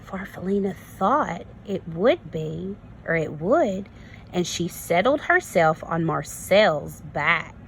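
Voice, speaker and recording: A woman kindergarten teacher reading a story to young children, expressive and instructive, prompting them with questions. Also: on the low side, slow, creaky, a little hiss or hum, low-quality recording. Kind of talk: read-aloud lesson for young children.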